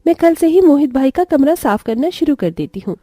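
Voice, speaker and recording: A woman playing a gentle, dutiful village mother and daughter-in-law who advises patiently in a story. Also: high-pitched, lively intonation, fairly quick, no room sound, studio quality. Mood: eager.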